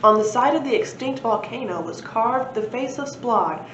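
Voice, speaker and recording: A woman reading a children's storybook aloud in an expressive narrating style, as a library presenter. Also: on the high side, moderate pace. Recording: roomy, dull lo-fi, faint noise.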